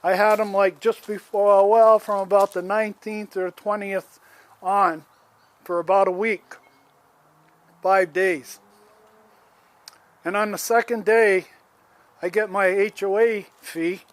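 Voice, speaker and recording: Slow-talking aggrieved man in his seventies. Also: sits high, fairly even intonation. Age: 70s. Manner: aggrieved, plain-spoken, rambling with restarts. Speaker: homeowner. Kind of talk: casual complaint vlog, filming as he walks. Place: outdoors, residential yard.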